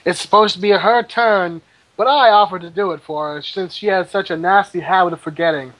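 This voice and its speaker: High voiced guy casually reading fan fiction out loud. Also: fairly quick, rough recording. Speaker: co-reader.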